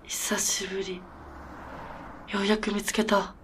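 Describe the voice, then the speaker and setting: A determined female mechanic , played by an actress, bewildered by what she faces. Her mid-pitched voice is casual and halting, with an incredulous edge, in the manner of radio drama dialogue. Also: flat delivery, slight background noise, natural voice. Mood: reproachful.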